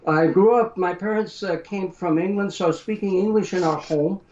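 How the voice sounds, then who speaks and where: An older man with an American accent tells his story in an interview, his voice pitched a bit high and his manner thoughtful and measured. The recording is roomy, with a dull, muffled tone. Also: darkish tone. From Brazil. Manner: measured, many uh fillers, reflective storytelling. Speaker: guest author. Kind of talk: interview conversation.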